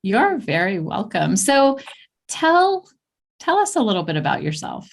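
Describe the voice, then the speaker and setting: A woman's voice: medium pitch, wildly swooping pitch, a little slow, creaky. A woman hosting a spiritual podcast interview, encouraging her guest with short affirmations and playful quips. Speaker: podcast host.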